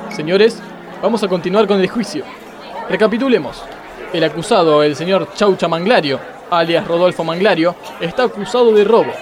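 A man's voice: high voice, normal speed, music in the background, close to an everyday voice. An Argentine man playing a pompous, exasperated, self-pitying judge in a comic radio play, declaiming melodramatically. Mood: stern, exasperated. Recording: crowd noise behind the voice.

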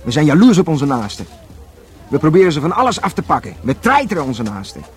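An actor playing a young, hot-headed, cynical police detective in a radio play, argumentative and sarcastic. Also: male voice, on the high side, sing-song, fast. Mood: bitter, sarcastic.

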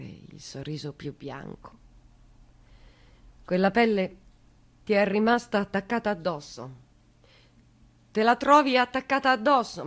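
An actress playing a grief-stricken woman in a radio theatre monologue, speaking in fragmented, repetitive phrases that move between hushed and insistent. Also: lowish voice. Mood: numb, hushed. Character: grief-stricken woman reliving days after a loss.

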